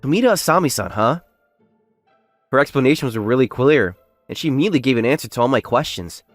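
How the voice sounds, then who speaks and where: A modest, candid young working man narrates and acts in a manga dub, played by a male actor. His intonation is very lively and earnest, with soft music underneath. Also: mid-range voice, fast talker, no room sound, close to an everyday voice. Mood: musing. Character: modest, plain-spoken young male factory worker, story's narrator. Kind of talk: English manga dub acting and first-person narration.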